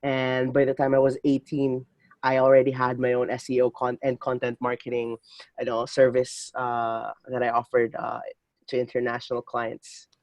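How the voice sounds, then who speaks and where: A man in his twenties from the Philippines, a podcast guest telling his story in lively casual talk full of fillers and restarts. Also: mid-range voice, moderate pace, no room sound. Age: 20s. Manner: energetic, many fillers and restarts, laughs often. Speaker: podcast guest, digital marketer. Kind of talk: casual interview chat.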